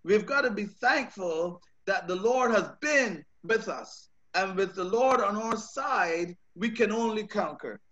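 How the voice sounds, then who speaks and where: A man's voice: high-pitched, expressive, a little slow, hardly any pauses, pushed and bright. A man with a Bajan accent, a pastor preaching a sermon over a video call, earnest, often repeating words as he builds his points. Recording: dull lo-fi video call audio.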